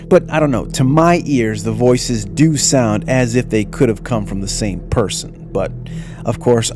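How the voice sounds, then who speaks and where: A man reading scripted narration for a mystery documentary. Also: medium pitch.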